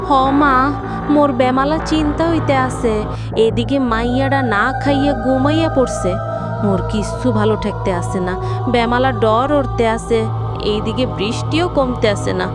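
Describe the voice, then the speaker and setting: A woman voicing an anxious young village wife in a horror cartoon, plaintive, with a Barisal accent, under loud music. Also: fairly high pitch, moderate pace, lightly acted. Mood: worried. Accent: rural southern Bangladeshi (Barisal). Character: worried young village wife waiting for her husband.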